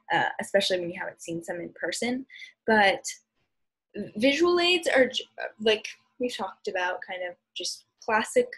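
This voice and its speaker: A woman's voice: mid-range voice, husky, poor audio. A young adult American woman giving an informal lesson over slides, explaining hesitantly with trailing asides, recorded on a computer mic at home. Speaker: speech and debate instructor. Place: small room at home, indoors. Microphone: computer mic on a video call.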